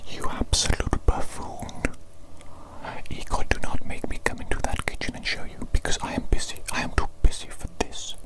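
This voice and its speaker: A woman voicing a pompous, fussy evil doctor in a whispered ASMR roleplay, breathy and given to repeating phrases emphatically. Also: lightly acted, poor audio. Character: pompous, exasperated evil doctor, self-proclaimed mad scientist genius.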